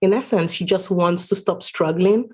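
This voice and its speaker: Woman from Nigeria, author guest, slightly low voice, earnest, explanatory, conversational. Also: normal speed, darkish tone, breathy edge. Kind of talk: conversational interview.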